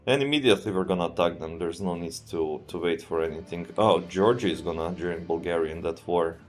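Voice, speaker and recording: Man, very low voice, Serbian accent, relaxed live game commentary, faint music behind. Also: a little fast. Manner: relaxed, thinking aloud, often trails off and restarts. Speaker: streamer.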